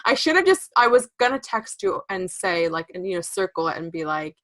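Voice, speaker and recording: Chatty bright-voiced woman podcaster. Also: lowish voice, sing-song, fairly quick. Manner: chatty, laughs often, lots of fillers. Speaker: podcast co-host. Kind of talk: casual knitting chat.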